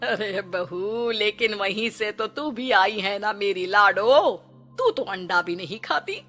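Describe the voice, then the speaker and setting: A woman's voice: mid-range voice, lively intonation, slow, bright, forceful tone, soft background music. A woman voicing a doting middle-aged mother-in-law in a moral story cartoon, affectionate, fussing and coaxing. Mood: fond, reassuring.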